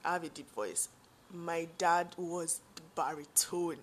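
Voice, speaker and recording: A young woman with a Nigerian accent and a deep, low-pitched voice talks straight to her viewers. She tells a personal story in a relaxed, rambling way, with lots of fillers and side remarks. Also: a little slow, slight background noise. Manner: chatty, full of fillers and asides. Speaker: vlogger.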